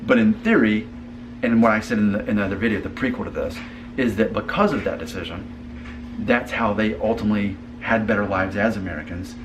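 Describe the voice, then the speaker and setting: A man's voice: on the low side, a little fast, background noise. A man hosting a casual family conversation, earnest and thinking aloud with frequent restarts. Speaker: host.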